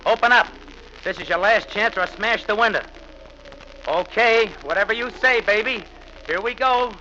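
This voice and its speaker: An American actor playing a cold, unhinged wife-killer who confesses with jittery menace in a vintage radio thriller. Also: male voice, high-pitched, normal speed, slight background noise. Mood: wild taunting. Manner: menacing, taunting endearments. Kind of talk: 1940s-50s radio thriller acting, first-person narration. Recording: muffled vintage radio recording, loud music behind.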